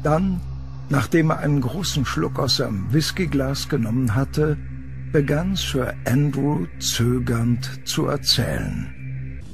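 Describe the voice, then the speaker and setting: Gravelly, expressive male story narrator. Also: medium pitch, takes it slowly, over music. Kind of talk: scripted horror story narration.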